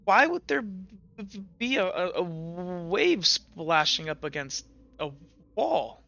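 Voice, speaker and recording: A game master voicing a nervous teenage boy companion who stutters and trips over his words, in somewhat higher tones than their own voice. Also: big pitch swings, slow, gravelly, pushed and bright, highs cut off, exaggerated voice. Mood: uneasy. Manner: stutters and hesitates.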